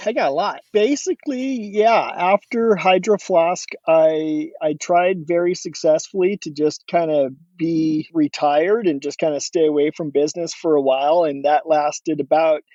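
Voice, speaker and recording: A middle-aged man from Oregon with a fairly high, mellow voice. He talks in a relaxed, rambling way and often restarts his sentences. The sound is dry and a bit dull. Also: a little slow, dry recording. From Oregon, USA. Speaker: guest entrepreneur. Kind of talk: casual interview talk.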